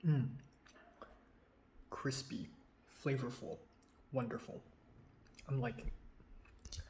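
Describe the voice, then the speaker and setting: A young man chatting casually in a vlog, tasting food and recounting his day, his voice a little raspy after a long phone call. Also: mid-range voice, slow. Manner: relaxed, chatty, drifting between soft murmurs and louder remarks. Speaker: vlogger.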